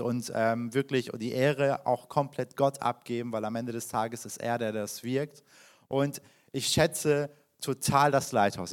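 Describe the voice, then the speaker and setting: A man in his thirties, originally from Iran, speaking as a guest pastor who introduces himself to a congregation in a chatty, personal way with frequent fillers and restarts. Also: mid-range voice. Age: 30s. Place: church hall.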